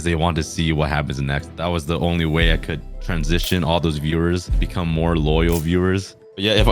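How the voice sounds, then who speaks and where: A young man with a deep voice, answering interview questions casually, with fillers and restarts. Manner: relaxed, rambling with fillers and restarts. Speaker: guest, gaming creator.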